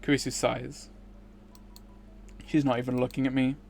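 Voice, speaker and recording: Male streamer, medium pitch, dramatic read-aloud narration of a visual novel. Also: flat delivery, normal speed, vocal fry, a little mellow.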